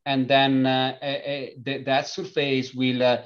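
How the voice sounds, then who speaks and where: Italian man, halting, full of fillers. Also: medium pitch, completely flat delivery, highs cut off. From Italy. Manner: hesitant, many filler sounds and restarts. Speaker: company founder, guest. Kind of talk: conversational interview.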